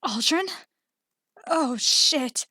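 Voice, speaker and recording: Woman voicing a young swordswoman, brisk, capable, bright forceful tone, higher pitch, fantasy audiobook. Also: animated, no echo, studio quality, heavily acted. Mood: alarmed. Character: brisk, capable young female sword-wielding game adventurer. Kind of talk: audiobook character dialogue.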